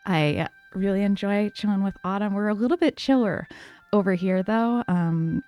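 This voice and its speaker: Soft calm woman streaming games, lots of ums, a bit of music under it. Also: mid-range voice, normal speed, clear, resonant tone. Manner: soft, calm, many fillers. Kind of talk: casual livestream chat.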